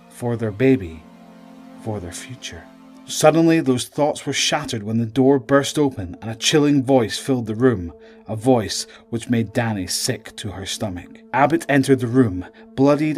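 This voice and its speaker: A man's voice: on the low side, darkish tone. A man giving scripted, dramatic narration for a story.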